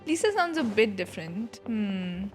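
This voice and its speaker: A woman's voice: medium pitch, sing-song, normal speed. A playful, slangy woman giving casual reaction commentary as she streams a game, with music underneath.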